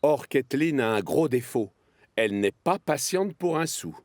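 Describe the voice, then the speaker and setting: A man narrating a children's cartoon in a measured, expressive storybook manner. His voice sits at an ordinary middle pitch. Clear cartoon music plays underneath him. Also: dry recording.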